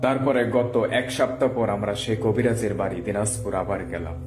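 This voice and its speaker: A man's voice: lowish voice, steady tone, moderate pace. A man narrating a ghost story in the first person as a horror storyteller. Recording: echoey voice over music bed.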